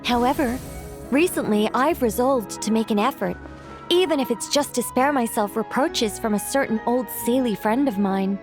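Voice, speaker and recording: Woman playing an eloquent, serene angel, higher-pitched. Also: music in the background, natural voice. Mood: wry. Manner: eloquent, measured, gently teasing. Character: serene, playful female angel storyteller, ancient and wry. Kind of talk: video game character acting, storybook narration.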